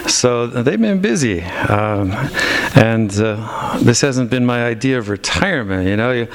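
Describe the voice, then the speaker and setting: A retired pastor in his sixties, guest preaching, relaxed and self-deprecating with easy humor. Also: medium pitch, unhurried. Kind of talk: casual greeting then leading worship. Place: church sanctuary. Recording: long reverb in a church hall.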